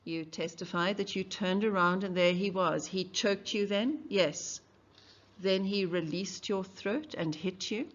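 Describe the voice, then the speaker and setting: A woman reading the part of a patient, middle-aged male defense lawyer, questioning in a measured way. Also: mid-range voice, lightly acted. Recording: dull lo-fi recording.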